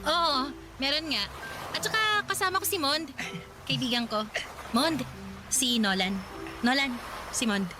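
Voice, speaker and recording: A woman playing a good-natured young market woman in a radio drama, in a conversational, gently protesting way. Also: high voice, unhurried, long pauses, music in the background, low-quality recording. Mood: friendly. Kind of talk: Tagalog radio drama acting.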